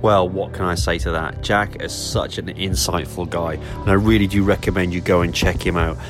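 A man's voice: deep voice, fairly even intonation, moderate pace. A man hosting a podcast who closes the episode with an upbeat, motivational sign-off. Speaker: podcast host. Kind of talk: scripted podcast outro. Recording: clear music bed behind voice.

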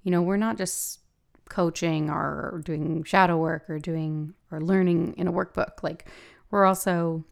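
A woman with a gravelly voice hosting a podcast, talking casually and haltingly as she thinks aloud. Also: on the low side, steady tone. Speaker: podcast host and hypnosis practitioner. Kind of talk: casual solo podcast talk.

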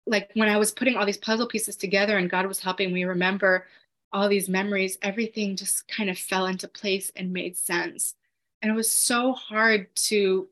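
Woman guest, earnest testimony, narrow pitch range, halting with fillers. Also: a bit bright. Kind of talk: personal testimony in conversation.

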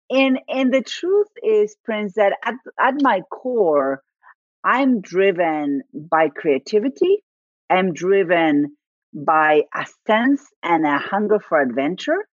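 A woman's voice: lowish voice, no room sound, muffled recording. A woman in her forties from Argentina, a native Spanish speaker with a thick Argentine accent, telling her story as an interview guest with frequent laughs and restarts. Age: 40s. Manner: reflective, laughs often, many restarts.

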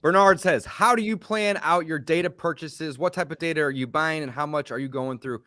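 A man's voice: fairly high pitch, brisk, sharp tone, dry recording, studio quality. A man hosting a podcast, running a Q and A in an upbeat, encouraging way. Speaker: podcast host.

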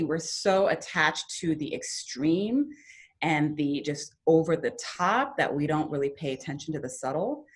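A woman guest on a podcast, telling a personal story in an earnest, reflective way that turns lively when she quotes her younger self. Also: deep voice.

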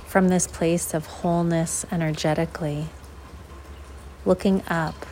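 A woman leading a guided visualization in an unhurried, measured way, leaving long pauses between short phrases. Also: lowish voice, steady tone, a little mellow, slight background noise. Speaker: guided meditation host.

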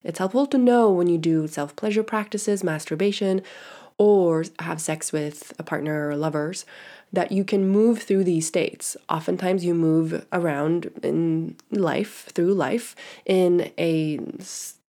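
A woman hosts a solo podcast with a stuffed-up, nasal voice pitched a little low. She talks frankly and chattily, with fillers and the odd laugh, close to the mic. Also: a little slow, dark tone. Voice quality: nasal from congestion. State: congested nose. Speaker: podcast host and sexuality coach.

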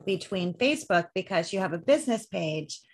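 A woman's voice: mid-range voice, sing-song, fairly quick, a little hiss or hum. Encouraging woman podcast host. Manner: encouraging, asks leading questions. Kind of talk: casual interview talk.

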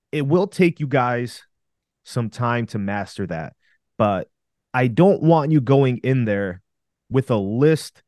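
A man's voice: mid-range voice, a little mellow, no room sound, studio quality. A man co-hosting a podcast, coaching male listeners on dating with emphatic, direct advice. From Russia. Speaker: podcast co-host.

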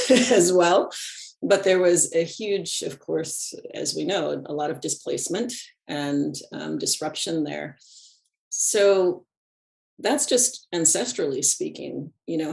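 A middle-aged woman with a low, gravelly voice speaks as a podcast guest. She talks in a thoughtful, unhurried way and laughs softly between thoughts. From United States. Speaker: podcast guest, animist and ritualist. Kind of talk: reflective conversational interview.

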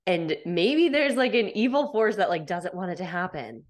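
A woman's voice: fairly low pitch, animated, moderate pace. A woman in her thirties hosting a casual solo podcast, stuffed up from a cold, chatty and upbeat with lots of fillers. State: congested from a cold. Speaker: podcast host.

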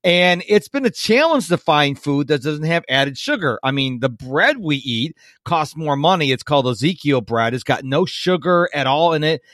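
A man's voice: a little high, lively intonation, moderate pace, hardly any pauses, fairly bright tone, no room sound, studio quality. A man in his fifties hosting an interview, chatty and prone to long rambling questions. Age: 50s. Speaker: podcast host. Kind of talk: casual interview.

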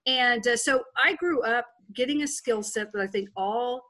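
A middle-aged woman from Texas with a softened Texas accent, a guest telling her life story in a frank, candid way with fillers and restarts. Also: fairly high pitch, normal speed. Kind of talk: personal storytelling interview.

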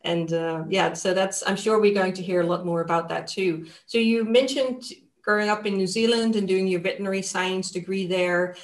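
A woman's voice: medium pitch, fairly even intonation, moderate pace. A woman hosting a podcast interview, enthusiastic and full of praise for her guest. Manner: warm and enthusiastic, repeats wonderful. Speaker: podcast host.

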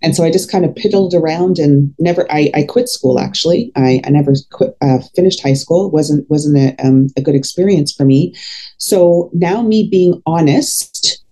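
A woman's voice: low voice, moderate pace, dark tone. A woman in her fifties talking candidly in an interview, outspoken and emphatic. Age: 50s. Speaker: podcast guest, fitness coach.

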